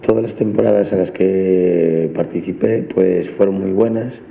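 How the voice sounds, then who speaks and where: A man's voice: deep voice, steady tone, a little slow, creaky, a little hiss or hum. A man giving a personal testimonial and recommendation. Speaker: community radio participant. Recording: tinny playback in echoey hall.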